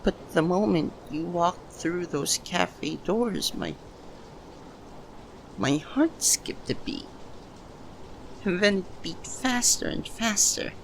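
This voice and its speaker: A man acting a halting, lovesick young man. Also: high voice, very animated, creaky, a bit bright, a little hiss or hum, exaggerated voice, low-quality recording. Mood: overwhelmed, pleading. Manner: halting, breaks phrases with long pauses. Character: lovesick young man confessing to childhood best friend. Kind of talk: ASMR roleplay, emotional dramatic monologue.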